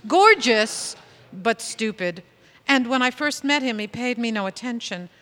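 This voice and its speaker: A woman playing a wry, fond, ageless sorceress who narrates a comic tale in a deliberate, dramatic manner. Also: a little high, lively intonation, fairly bright tone. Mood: fond, exasperated. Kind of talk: comic storytelling narration in audio theatre. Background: music.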